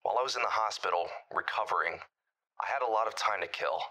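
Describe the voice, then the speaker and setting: A man's voice: fairly low pitch, fast, pushed and bright. A man playing a bitter survivor caller. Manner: grim storytelling, bitter. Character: bitter male caller who survived a monster attack. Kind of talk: audio drama acting.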